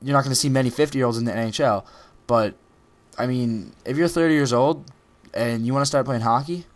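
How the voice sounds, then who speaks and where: A man's voice: medium pitch, fairly quick. A teenage boy giving a casual, rambling motivational talk over gameplay footage, with many ums and restarts. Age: teens. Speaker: gaming video creator.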